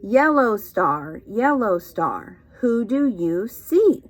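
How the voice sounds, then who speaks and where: Lady reading picture books to little kids, super slow and sing-songy, voice goes up and down a lot, asks questions like a teacher. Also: mid-range voice. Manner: sing-song, expressive, questioning, encouraging. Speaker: children's storytime reader and teacher. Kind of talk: interactive read-aloud to young children.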